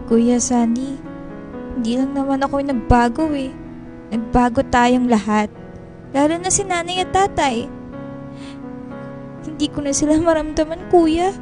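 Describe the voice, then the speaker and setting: Dutiful, troubled young daughter for radio drama; very high pitch, music bed. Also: female voice. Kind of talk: Filipino radio drama acting.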